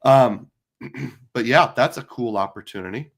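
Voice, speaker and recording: A middle-aged man with a hoarse, tired voice, hosting a live stream in casual chat, rambling with lots of fillers. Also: medium pitch, a little slow. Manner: chatty, rambling with many fillers. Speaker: livestream host.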